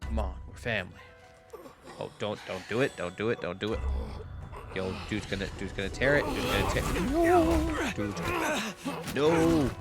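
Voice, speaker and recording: A man streaming an excitable live reaction to a game, slangy and repeating his phrases. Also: fairly low pitch, moderate pace, pauses often. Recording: loud game music behind the voice.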